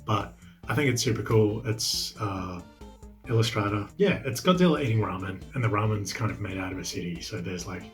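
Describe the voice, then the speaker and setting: Man, lowish voice, laid-back, rambling, lots of ums. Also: fairly quick, faint music behind. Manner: relaxed, rambling, filler-heavy. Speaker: toy collector. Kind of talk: casual video testimonial.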